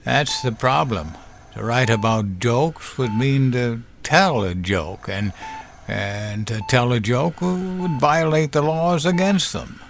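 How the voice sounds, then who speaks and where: A man playing a gentle, dying old grandfather in an audio drama, halting and tender, with a creaky voice. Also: medium pitch, faint music behind. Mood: rueful.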